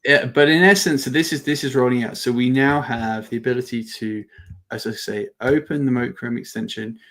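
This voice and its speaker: A man giving an informal live software demo as a webinar presenter, halting and thinking aloud as he goes. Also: mid-range voice.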